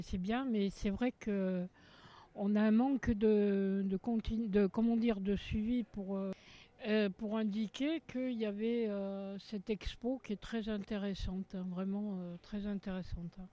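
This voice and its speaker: Woman, chatty interview comments, fillers while searching for words, mellow tone, dull lo-fi recording. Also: medium pitch, fairly even intonation, measured pace.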